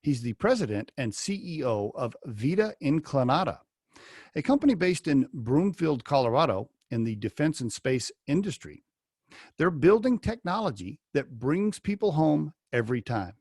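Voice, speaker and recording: A man's voice: fairly high pitch, big pitch swings, moderate pace, a little mellow, slightly rough, dry recording, clean studio sound. A man hosting a podcast interview over a video call, chatty and asking rambling questions. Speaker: podcast host. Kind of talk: casual interview.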